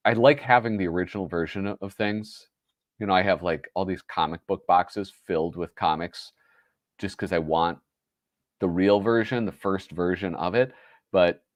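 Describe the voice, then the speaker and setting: Nasal guy hosting a livestream, really low voice, rambly and full of ums, dry close sound. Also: no echo. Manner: rambling, self-correcting, full of fillers. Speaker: host. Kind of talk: casual livestream chat.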